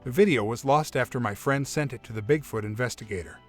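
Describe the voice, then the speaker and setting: A man narrating a first-person story in a measured, even reading voice. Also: medium pitch. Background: faint background music.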